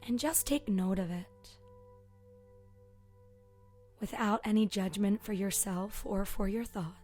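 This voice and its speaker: A woman leading a guided meditation as a yoga teacher, speaking softly and soothingly with long pauses and a voice that can trail toward a breathy whisper. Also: mid-range voice, steady tone. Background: soft meditation music with singing bowl tones.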